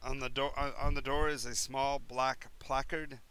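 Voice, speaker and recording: A man's voice: mid-range voice, little pitch movement, moderate pace, pushed and bright, smooth. A man running a tabletop role-playing game, describing rooms at length with many fillers and restarts. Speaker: game master.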